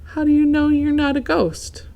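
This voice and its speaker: A woman's voice: sits high, fairly even intonation, normal speed, creaky, dark tone, pitched above the performer's own voice, rough recording. A woman voicing a timid, thoughtful young male goose in a children's storybook reading, hesitant and nervous.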